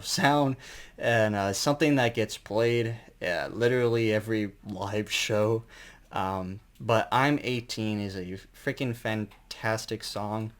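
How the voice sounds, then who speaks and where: A man giving a casual, unscripted album review as an enthusiastic fan, rambling and colloquial, with some mild swearing. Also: fairly low pitch.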